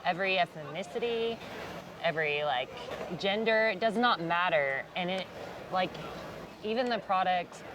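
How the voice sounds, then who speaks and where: A woman's voice: lowish voice, expressive, unhurried, background noise. A woman interviewing a brand founder, enthusiastic and full of "like" fillers. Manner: enthusiastic, heavy use of "like". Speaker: interviewer, barber. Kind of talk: sit-down interview. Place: outdoors at a busy trade convention.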